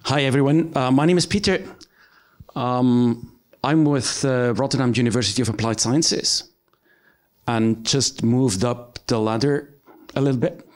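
A man's voice: medium pitch, unhurried. A middle-aged man from Switzerland, a research professor, telling a personal story to an audience in a crowded cafe room on a handheld mic, earnest and emphatic with some hesitation. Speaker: research professor speaking at an event.

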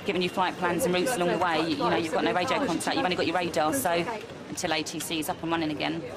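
A woman's voice: on the low side, level tone, very fast. A woman working as an airline dispatcher, explaining things frankly and informally to passengers. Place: busy airport desk. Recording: loud airport crowd noise.

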